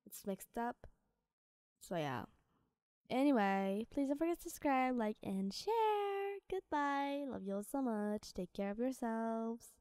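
A woman with an Arabic-tinged English accent narrating a fan story, often in a soft whisper. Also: on the high side, very animated, slow, smooth, no echo, clean studio sound. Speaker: ASMR storyteller.

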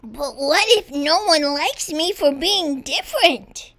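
Very high-pitched, childlike young giraffe. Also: female voice, slow pace, full character voice. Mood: dejected. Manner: childlike, expressive storybook delivery. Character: lonely young giraffe longing for friends, earnest, plaintive. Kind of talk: children's storybook character reading.

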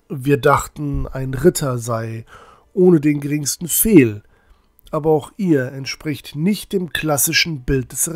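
An actor playing an earnest, pious teenage village boy in a fantasy audio drama, deliberate and formal in speech. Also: mid-range voice, no echo. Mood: solemn, resolute.

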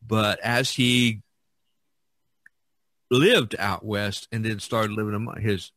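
A man with a fairly low voice hosts a casual podcast discussion. He speaks haltingly, circling back over words as he works out his thought. The sound is dry and close, with little room in it. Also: fairly even intonation, fairly bright tone, no room sound. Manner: halting, repeating words as he thinks aloud. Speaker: podcast host. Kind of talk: casual discussion of art and history.

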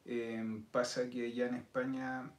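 Measured Chilean man, roomy sound. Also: mid-range voice, flat delivery. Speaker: podcast guest. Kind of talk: casual chat. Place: indoor studio set with city backdrop. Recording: roomy sound with faint noise.

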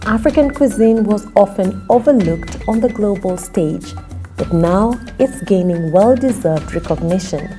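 A woman's voice: medium pitch, mellow. A woman reading scripted narration for a news feature. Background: music bed.

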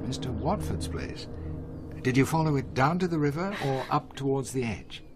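A man's voice: medium pitch, lively intonation, normal speed, background noise, lightly acted. Man playing a grave, kindly old country doctor. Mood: gentle, probing. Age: older. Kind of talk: radio drama acting. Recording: radio drama with loud music underneath.

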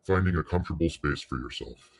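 A man leading a guided meditation in a soothing, unhurried way, pausing between short phrases. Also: very deep voice, gravelly, breathy edge.

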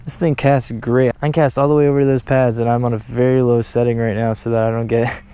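A young man chatting casually to a head-mounted camera while fishing, talking excitedly to the viewers and to the fish he catches. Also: medium pitch, fast, smooth. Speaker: fishing vlogger. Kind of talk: casual first-person commentary while fishing. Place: outdoors by a pond and dam. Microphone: head-mounted action camera. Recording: outdoor ambience, wind and water noise.

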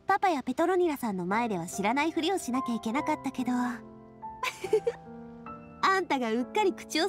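An actress playing a sweet, friendly young woman in a video game, talking casually like an old friend. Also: high-pitched. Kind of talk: Japanese game voice acting.

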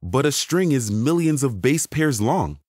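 A man's voice: mid-range voice, big pitch swings, unhurried, a little mellow, no room sound, clean studio sound. A man playing an incredulous, money-minded manager in an audio story, with an astonished, questioning delivery. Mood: questioning, intrigued. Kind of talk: acted dialogue in an audio short story.

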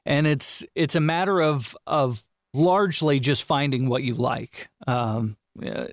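A man in an unscripted technical discussion, explaining in a measured way as an expert. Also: a little high, dry recording.

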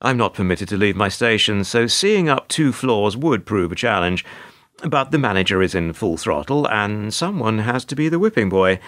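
Male actor as put-upon hotel receptionist for radio drama: resigned, wordy, lively intonation, dry close sound. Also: lowish voice, moderate pace, dry recording. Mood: weary. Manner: wry, resigned, long-winded and formal. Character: world-weary, put-upon male hotel receptionist narrating his troubles. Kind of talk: radio play monologue and dialogue.

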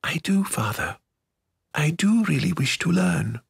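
A small, feisty girl from a fantasy tale, acted out by a male narrator. The voice sits far higher than his own and is snappy, firm and stubborn. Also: very animated, unhurried, vocal fry, breathy edge, heavily acted. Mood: pleading, earnest.

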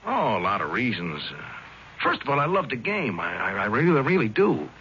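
An actor in a 1970s radio drama playing a big, earnest, cocky young football player with casual, slangy speech. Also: male voice, medium pitch, wildly swooping pitch, moderate pace, sharp tone, a little hiss or hum. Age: young adult. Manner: casual, slangy American talk. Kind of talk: 1970s radio drama acting, first-person narration and dialogue. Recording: dull old radio broadcast with music.

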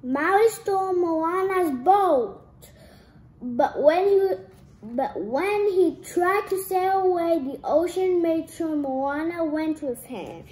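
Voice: very high-pitched, expressive, takes it slowly, darkish tone. A young-sounding reader reading a storybook aloud carefully, restarting phrases after stumbles, in an echoey room.

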